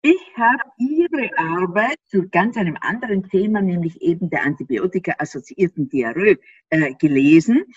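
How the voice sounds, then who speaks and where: Austrian woman, interview guest, husky, lively pitch, dull dry recording. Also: moderate pace, dry recording. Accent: Austrian German. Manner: animated, laughs often, explains with rhetorical questions. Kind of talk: explanatory interview talk. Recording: slightly dull dry sound.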